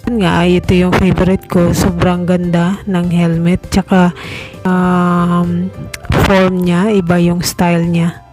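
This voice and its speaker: A young woman vlogger walks through a casual product review, taking her time with easy pauses and fillers. Her voice sits a little low and sounds mellow and relaxed. Faint music plays behind her. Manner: unhurried, chatty, with many pauses and fillers.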